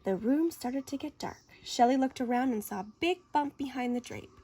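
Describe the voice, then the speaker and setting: A high-voiced woman reads a children's story aloud. Her delivery is animated and theatrical, with wide swings in pitch and deliberate dramatic phrasing. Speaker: story reader. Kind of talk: expressive children's picture-book read-aloud.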